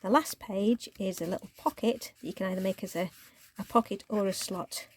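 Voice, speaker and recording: A woman hosting a crafting channel, chatting casually through her project, a little croaky and sometimes dropping to a near-whisper. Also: mid-range voice, a little fast. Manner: chatty, relaxed show-and-tell, drops to near-whisper while handling paper. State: croaky voice, clearing throat.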